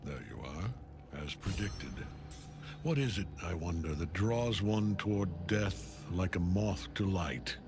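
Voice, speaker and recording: A man playing a grim older male general who serves a death god, speaking in a measured, cold, brooding way in game cutscene dialogue. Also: low voice. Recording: game audio with loud music.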